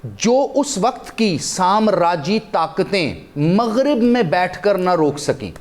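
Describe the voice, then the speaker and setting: A man's voice: sits high, lively intonation, slow. A man with a Pakistani accent giving impassioned, emphatic religious and historical commentary as a talk show guest, building his points through repetition.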